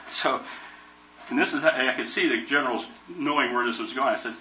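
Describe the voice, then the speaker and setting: A man's voice: medium pitch, a little fast, bright, forceful tone. An older man in his seventies with an American accent, a retired helicopter pilot giving a casual, joking talk to a museum audience. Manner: folksy, joking storyteller. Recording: roomy, distant, dull recording.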